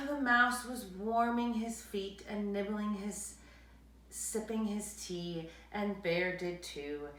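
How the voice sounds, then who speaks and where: A woman narrating a children's story aloud in an animated, dramatic way, speaking directly to her listeners. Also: medium pitch, slow. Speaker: read-aloud storyteller, teacher. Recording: roomy echo, distant microphone.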